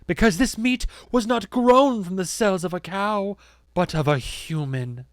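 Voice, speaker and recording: A man's voice: on the high side, unhurried, dry recording, studio quality, pitched above the performer's own voice, close to an everyday voice. A man voicing a menacing woman zealot in an audiobook, theatrical and accusing. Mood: grandly condemning. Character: solemn, menacing woman zealot preaching to followers. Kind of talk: audiobook character acting.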